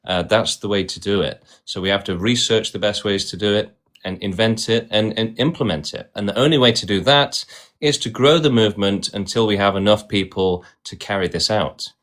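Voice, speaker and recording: Man, fairly low voice, host arguing hard with guests, keeps saying right. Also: normal speed, a bit bright. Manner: insistent, repeats "right?". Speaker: host of a debate stream. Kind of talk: argumentative debate.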